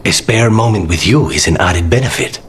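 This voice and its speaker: An actor playing a weary, suave assassin in a fantasy video game, speaking in a dry, measured, understated way. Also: male voice, deep voice, husky. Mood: calm, guarded. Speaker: companion character in a fantasy game. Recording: loud music behind the voice. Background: music.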